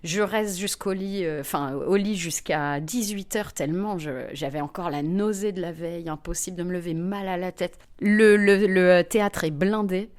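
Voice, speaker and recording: Lively lower-pitched woman telling stories. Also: normal speed, hardly any pauses. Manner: lively, expressive, frequent fillers. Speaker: comedian guest. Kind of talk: anecdotal storytelling in conversation.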